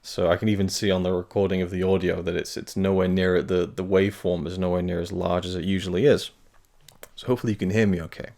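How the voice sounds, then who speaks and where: Deep-voiced man, hesitant and rambling. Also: level tone, fast, creaky, darkish tone. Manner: rambling, hesitant, many fillers and restarts. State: talking carefully because of tooth pain. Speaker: film review channel host. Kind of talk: casual channel update to camera.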